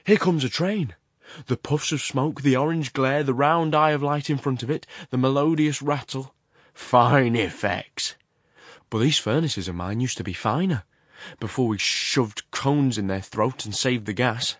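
A man voicing a forceful, loquacious ironworks man in a storybook reading, with emphatic, abrupt delivery and an undertone of menace. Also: mid-range voice, unhurried, no echo, clean studio sound, pitched above the performer's own voice, natural voice. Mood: insistent, expansive. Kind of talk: dramatized storybook narration.

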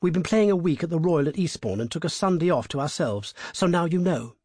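A man voicing a bold, sharp-tongued young actress in an audiobook, speaking briskly and cheekily. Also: on the high side, animated, breathy edge, no room sound, clean studio sound, voice pushed up. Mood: defiant. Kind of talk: audiobook character voice.

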